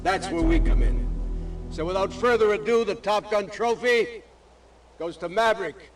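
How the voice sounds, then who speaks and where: A man playing a brisk, authoritative Navy commanding officer giving clipped briefings in a video game. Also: high voice, over music, lightly acted. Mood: formal, proud. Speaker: actor in a video game cutscene. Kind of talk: military briefing dialogue.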